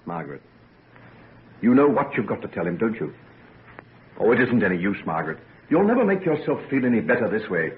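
Ardent young gentleman, old tinny radio. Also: on the low side, sing-song, fairly quick, pauses often. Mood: insistent. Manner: earnest, pleading, impassioned. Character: ardent young gentleman, remorseful yet sure of his beloved. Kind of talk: old-time radio drama acting. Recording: noisy, narrow-band vintage radio recording.

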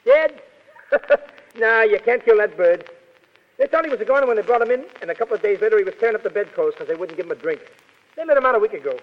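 An actor playing a rough, jovial army sergeant with an American accent and slangy bluster, in 1930s radio style. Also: male voice, sits high, animated, quick pace. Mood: amused, chatty. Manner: slangy, blustering, stammering start. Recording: muffled, reverberant 1930s radio recording.

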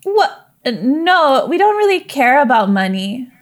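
A woman's voice: high voice, very animated, unhurried. A woman voicing a naive, chatty young woman from a goddess commune, rambling and giggly in a tabletop roleplay. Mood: cheerful. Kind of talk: in-character roleplay.

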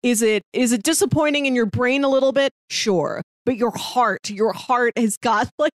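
A woman co-hosting a podcast, casually discussing a TV series in an animated, opinionated way. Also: a little high, moderate pace, no echo, studio quality. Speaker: podcast co-host.

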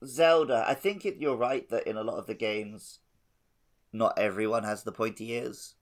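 A man's voice: on the low side, moderate pace. A man co-hosting a podcast, chatting casually with a wry, conversational manner. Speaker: podcast co-host.

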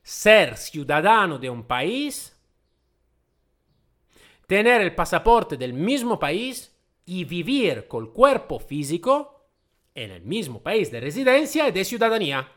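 Animated man with an Italian accent. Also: high-pitched, slow pace. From Italy. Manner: emphatic, repeats himself, apologizes for his Spanish. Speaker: host. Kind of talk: casual spoken monologue.

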